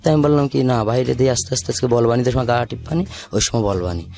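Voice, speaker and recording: A man's voice: medium pitch, quick pace, vocal fry, darkish tone. A man with a southwestern Bangladeshi accent from the Khulna region, recounting his life informally in a rambling, repetitive way and acting out remembered dialogue. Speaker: guest telling their life story. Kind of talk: informal personal confession, storytelling. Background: background music.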